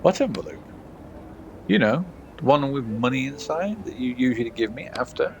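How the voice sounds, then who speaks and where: A man's voice: medium pitch, animated, moderate pace, a little mellow, slight background noise, voice pushed up, close to an everyday voice. A man voicing a weary, argumentative errand runner from a story game, pleading and exasperated. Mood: haggling.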